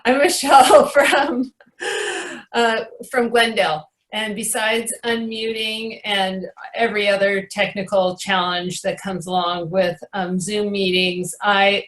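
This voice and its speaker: Slow-talking woman on a video call. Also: medium pitch, a bit bright, low-quality recording. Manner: laughs often, hesitant with fillers. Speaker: attendee. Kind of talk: casual introduction on a video call. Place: barnyard.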